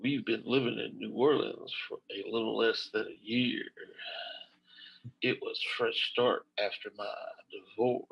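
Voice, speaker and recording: Comic drama mother, male reader: earnest, husky, unhurried, slightly muffled recording. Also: lowish voice, steady tone, heavily acted. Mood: earnest, subdued. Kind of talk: dramatic comic book reading.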